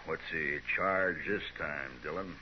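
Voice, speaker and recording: An actor playing a defiant, bullying cattle boss with a folksy drawl in a 1950s radio western. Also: male voice, a bass voice, fairly even intonation, takes it slowly. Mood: defiant, menacing. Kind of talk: 1950s radio drama acting. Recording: noisy, dull 1950s radio recording.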